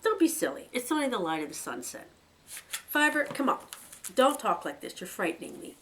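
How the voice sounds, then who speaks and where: A woman's voice: fairly high pitch, big pitch swings, moderate pace, a bit bright, a little hiss or hum, voice pushed up. A woman voicing a protective young male rabbit who speaks firmly and reassuringly. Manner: firm and reassuring.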